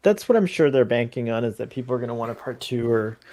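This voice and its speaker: An easygoing man hosts a casual watch-along, laughing often and pausing to search for the right word. His voice sits at an ordinary middle pitch. The recording is dry, with no room sound. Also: animated, darkish tone, no room sound. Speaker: show host.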